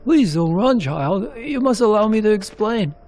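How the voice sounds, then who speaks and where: A man voicing a remorseful, anxious character in a game story, pleading and halting. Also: high voice, sing-song, a little mellow, soft background music, pitched above the performer's own voice. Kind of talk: narrated game cutscene character.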